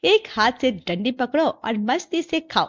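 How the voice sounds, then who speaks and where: A woman voicing a spirited, scheming young daughter-in-law in animated story dialogue, chatty and dramatic, talking to herself. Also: high-pitched, unhurried, muffled recording, exaggerated voice. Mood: musing, frustrated.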